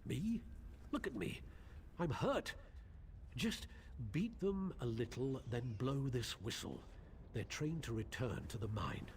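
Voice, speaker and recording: A man's voice: medium pitch, sing-song, takes it slowly, a little hiss or hum. A man voicing a timid, hesitant mine keeper who is hurt and pleading, in a video game. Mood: flustered. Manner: hesitant, stammering.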